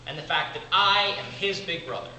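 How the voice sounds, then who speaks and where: Guy doing a worn-out devoted older brother stage monologue, higher voice, lots of pauses, far from the mic in an echoey room. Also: sharp tone. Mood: earnest, emphatic. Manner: deliberate, dramatic pauses between short phrases. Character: weary, devoted big brother caring for disturbed sibling. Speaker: amateur drama club actor. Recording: distant mic, echoey room, clearly noisy.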